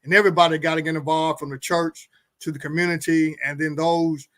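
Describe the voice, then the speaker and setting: A middle-aged man with a fairly high voice talks earnestly in a talk-show conversation. He often doubles back on words as he works toward his point. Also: level tone, brisk, a bit bright. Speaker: guest pastor and co-host.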